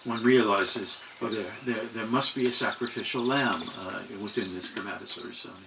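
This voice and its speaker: A man interviewing a writer onstage, asking questions in a hesitant, halting way with many fillers. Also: fairly low pitch, fairly even intonation. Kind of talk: onstage conversation. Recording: distant mic, clear outdoor noise.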